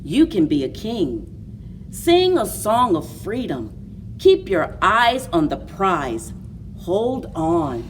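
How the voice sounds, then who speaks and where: Female children's story time host: lowish voice, hugely varied intonation, deliberate and expressive, recorded at a distance. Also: slight background noise. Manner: slow, deliberate, expressive delivery for young children. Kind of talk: children's picture book read-aloud and story time.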